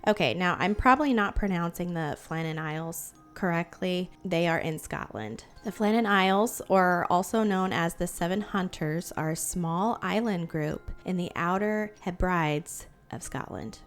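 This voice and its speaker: A young woman hosting a casual mystery podcast, a bit hoarse from losing her voice, chatty and quick to giggle. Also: fairly low pitch, faint music behind. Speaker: podcast host. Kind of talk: casual storytelling chat.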